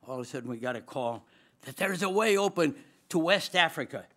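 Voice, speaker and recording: A man's voice: fairly high pitch, fairly quick. An older man with a Swedish accent preaching at a lectern microphone in a large auditorium, telling his story with humor and frequent laughter. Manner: lively, humorous, laughs often, gestures broadly. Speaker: chapel speaker, former dean. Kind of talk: storytelling chapel sermon.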